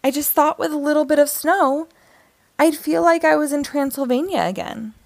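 Excitable young vampire girl for a picture book, acted by a woman: high, earnest, exclaiming. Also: normal speed, vocal fry, no room sound. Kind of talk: children's storybook character voice.

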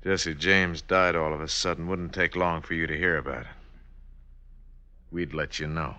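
Hard-bargaining Old West outlaw, very low clear voice, terse, folksy, radio drama. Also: male voice, fairly even intonation, normal speed, sharp tone, no echo, lo-fi sound. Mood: wary, grim.

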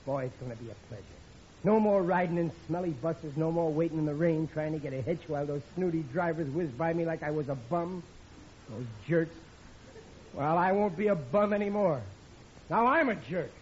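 A man's voice: on the high side, moderate pace, a little mellow, natural voice. A man playing a blustering, stubborn, dim working-class American husband and dad in a 1950s radio sitcom. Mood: proud, excited. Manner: blustering, emphatic. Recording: muffled, noisy old radio recording.

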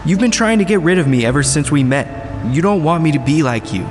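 A man's voice: fairly high pitch, animated, fast, lightly acted. An actor playing a restless, disillusioned young male college student in an audio drama, sardonic yet earnest. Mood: hurt, defiant. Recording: long reverb, faint music behind.

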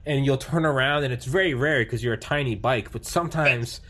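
A man talking as a podcast host in loose, casual conversation. He rambles with enthusiasm, swearing freely and tripping over filler words as he gets carried away. His pitch sits right in the middle for a man. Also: fairly quick, a bit bright. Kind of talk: casual chat.

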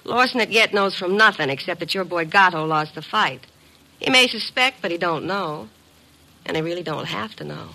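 A woman's voice: lowish voice, normal speed, vocal fry, sharp tone, full character voice, low-quality recording. An actress playing a tough, cool female blackmailer with slangy, brassy, insistent talk in an old radio drama. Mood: hard, threatening. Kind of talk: 1950s radio drama acting. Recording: vintage radio broadcast.

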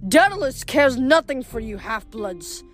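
Woman doing a spiteful old ghost king, slow sneering menace, audiobook. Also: sits high, very animated, faint music behind, full character voice. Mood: menacing. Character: malicious ancient male ghost king.

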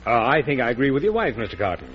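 An actor playing a polite, insinuating financier who feigns humility, in an old radio drama. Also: male voice, medium pitch, very animated, racing, natural voice. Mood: courteously persuasive. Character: smooth-talking, scheming, falsely humble male financier. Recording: dull old radio recording with hiss.

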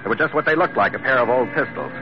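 A man's voice: mid-range voice, expressive, racing, music in the background, natural voice. A man acting in an old-time radio adventure, playing a tough, wry sea captain who narrates in a blunt, clipped way. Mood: wry narration. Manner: hard-boiled, blunt, clipped. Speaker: lead actor and first-person narrator. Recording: noisy, muffled vintage radio recording.

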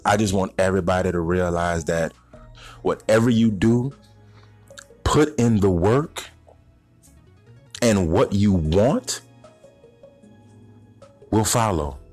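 Deep-voiced man podcasting over faint music. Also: darkish tone. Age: 30s. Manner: direct address to listeners, slangy, rhetorical questions. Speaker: podcast host. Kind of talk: informal motivational solo podcast talk.

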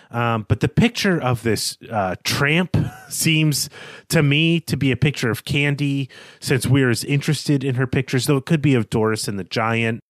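A man co-hosting a literary podcast, talking through his analysis in a measured, conversational way. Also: medium pitch, no echo, studio quality. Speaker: podcast co-host.

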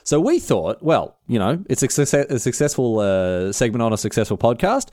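A man's voice: mid-range voice, big pitch swings, moderate pace, a little mellow, no echo. Chatty Australian man, dry close sound. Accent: city Australian. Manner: chatty, joking, many restarts. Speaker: podcast co-host. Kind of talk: casual chat.

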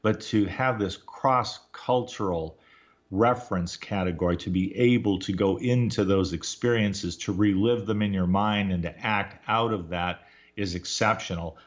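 Radio host guy, deepish voice, muffled lo-fi sound, rambles thoughtfully. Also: a little slow. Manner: thoughtful, meandering. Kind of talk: radio interview. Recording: dull lo-fi radio audio.

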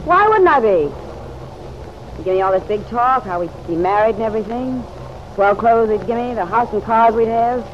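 A woman's voice: fairly high pitch, animated, moderate pace, lightly acted. An actress playing a tough, guarded city woman in an old radio drama, curt, slangy and defensive. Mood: sore, indignant. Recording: dull, noisy old radio recording.

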